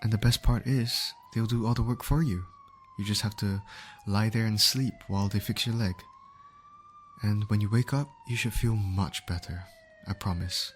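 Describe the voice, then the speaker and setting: Man acting low-voiced, soothing ambulance medic, siren behind. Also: moderate pace, a little hiss or hum, natural voice. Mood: concerned, soothing. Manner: soothing, coaxing, attentive. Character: gentle, reassuring male ambulance medic tending an injured patient. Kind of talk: ASMR comfort roleplay acting.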